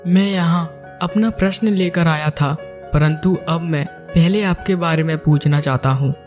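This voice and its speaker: A man's voice: fairly high pitch, a little slow, darkish tone, close to an everyday voice. A man playing a respectful, curious priest in a cartoon story, polite and inquiring. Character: curious, respectful Brahmin visitor seeking answers. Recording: music behind the voice.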